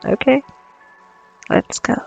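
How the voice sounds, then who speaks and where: A woman's voice: slow, darkish tone, soft background music, lightly acted. A woman playing a cocky, flirtatious female vampire in an ASMR roleplay, sardonic and playful. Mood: wry, coaxing. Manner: sardonic, playful, casually swearing. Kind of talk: ASMR roleplay audio drama.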